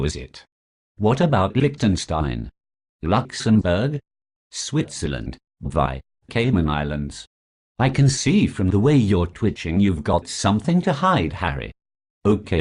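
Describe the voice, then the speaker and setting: A scornful prosecutor, played by a man, who cross-examines with biting sarcasm. His voice is very deep, yet it swoops up and down with lively scorn. He treats every answer with open contempt. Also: exaggerated voice. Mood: mocking. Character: sneering foul-mouthed prosecuting lawyer. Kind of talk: comedy sketch acting.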